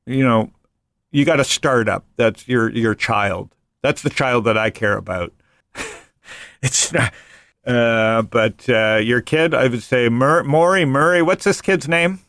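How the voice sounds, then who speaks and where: A man hosting a relaxed finance podcast, joking and wandering off into quick asides. His voice sits in a middle range and sounds close and dry, with no room around it. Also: medium pitch, no room sound. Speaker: podcast host and venture investor. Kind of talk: casual finance podcast chat.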